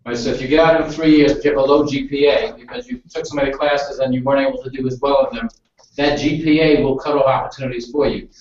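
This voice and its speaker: A man's voice: mid-range voice, fast, darkish tone, highs cut off. Man on a very echoey, distant call. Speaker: panelist advising students. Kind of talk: adding comments on a conference call.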